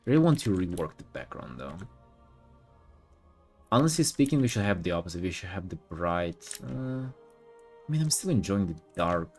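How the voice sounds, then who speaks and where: A man who is a native Russian speaker, chatting casually as an art streamer in a halting, rambling, slangy way with some swearing. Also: sits low, big pitch swings, a little mellow, faint music behind. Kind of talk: casual livestream chat while painting.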